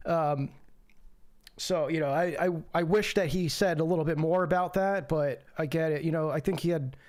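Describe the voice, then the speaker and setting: A man hosting a casual call-in livestream, talking loosely with many fillers and restarts into a home streaming mic. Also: fairly high pitch. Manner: rambling, lots of fillers and restarts. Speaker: livestream host.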